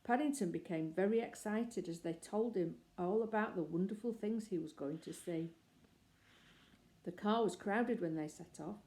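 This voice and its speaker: A woman reads a children's book aloud with a gentle, expressive touch. Her voice is mellow and warm at a middle pitch. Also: normal speed. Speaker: reader.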